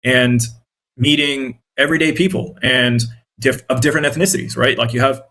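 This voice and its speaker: A man's voice: mid-range voice, fairly even intonation, moderate pace, sharp tone, poor audio. A man with an American accent answering interview questions conversationally, explaining things in an earnest way. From born in Iraq, grew up in the United States. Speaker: interview guest, independent journalist and content creator.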